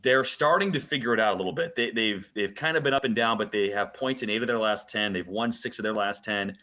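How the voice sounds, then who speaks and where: A man's voice: on the low side, fast, sharp tone. A man speaking as a play-by-play announcer giving detailed, emphatic analysis in a sports radio interview.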